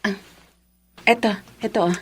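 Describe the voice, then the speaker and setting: Meek, long-suffering Filipina wife. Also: female voice, medium pitch, fairly even intonation, unhurried, husky. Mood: pleading, apologetic. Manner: soft-spoken, apologetic. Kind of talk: Filipino radio drama acting.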